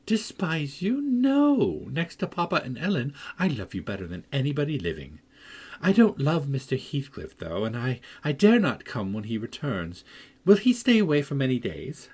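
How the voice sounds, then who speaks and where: A man's voice: mid-range voice, huge pitch swings, moderate pace, a little mellow, breathy edge, pitched above the performer's own voice. A man voicing a pert, impulsive young girl who speaks with passion. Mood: earnest. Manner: pert, impassioned. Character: impulsive, affectionate young girl, quick-tempered.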